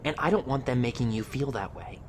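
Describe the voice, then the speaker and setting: Man playing a gentle, protective young guy. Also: mid-range voice, brisk, a little hiss or hum, close to an everyday voice. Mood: reassuring.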